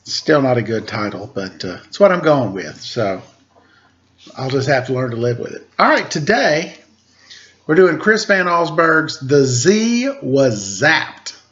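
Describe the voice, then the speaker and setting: A man's voice: mid-range voice, big pitch swings, unhurried, fairly bright tone, lo-fi sound. A man doing a picture book read-aloud as a storyteller, playful and informal, with a touch of theatrical announcing. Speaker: storyteller, teacher.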